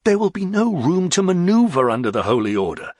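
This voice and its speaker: A man's voice: a little high, sing-song, moderate pace, creaky, a bit bright, slightly rough, no echo, pitched above the performer's own voice, heavily acted. A man reading an audiobook in the role of a weary, bitter but self-controlled nobleman with dry irony. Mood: bitter despair.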